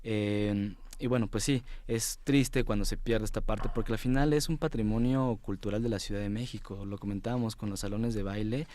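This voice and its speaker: A man's voice: fairly low pitch, fairly even intonation, normal speed, hardly any pauses, darkish tone. A man with a Mexico City accent speaking casually as an interview guest, hesitating, repeating words and often tagging phrases with a questioning "no?". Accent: Mexico City Spanish. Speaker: interview guest, music writer and editor. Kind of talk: casual radio-style interview.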